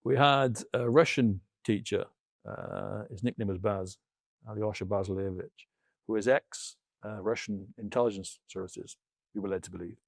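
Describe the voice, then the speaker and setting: Middle-aged British male, low register, dry close sound, conversational storyteller for an interview guest spot. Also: moderate pace, creaky, no room sound. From United Kingdom. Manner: anecdotal, re-enacts dialogue as he tells it. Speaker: interview guest, former military linguist.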